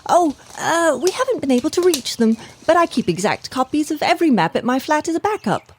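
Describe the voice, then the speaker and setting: Actress as timid female archivist, very high, swooping, hesitant and forever apologetic. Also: big pitch swings, brisk. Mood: flustered. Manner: hesitant, stammering.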